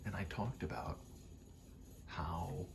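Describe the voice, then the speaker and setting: A man with a very deep, creaky voice rambles softly in an ASMR style, often slipping into a breathy whisper. He is casual, sweary and self-deprecating. A rattling vent adds clear noise behind him. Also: slow pace. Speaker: video creator rambling to camera. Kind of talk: soft-spoken ASMR ramble.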